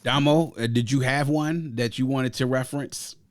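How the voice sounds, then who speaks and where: A man's voice: mid-range voice, fairly even intonation, normal speed, no room sound. A man in mid range chats eagerly about music on a podcast, piling up asides and fillers as he goes. His voice is close and dry, with no room sound behind it. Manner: enthusiastic, rambling with fillers. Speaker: podcast co-host. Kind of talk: casual album review chat.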